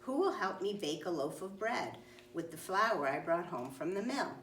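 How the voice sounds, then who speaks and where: A woman's voice: lowish voice, animated, normal speed, a bit bright. A woman voicing an industrious, self-reliant little red hen from a children's storybook, with emphatic questions and firm declarations. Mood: hopeful, determined. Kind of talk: children's storybook read-aloud. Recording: roomy, distant mic, faint noise.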